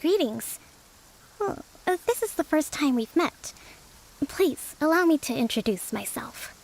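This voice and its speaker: A woman playing a young priestess who leads a resistance army, speaking in a polite, formal, measured way in a video game. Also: sits high, sing-song, creaky, exaggerated voice, rough recording. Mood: courteous.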